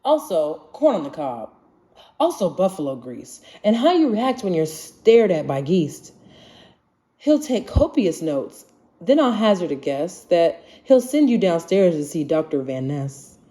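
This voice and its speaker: A woman reading a children's rhyming picture book aloud in an expressive, singsong way. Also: lowish voice, a little slow. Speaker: storybook reader.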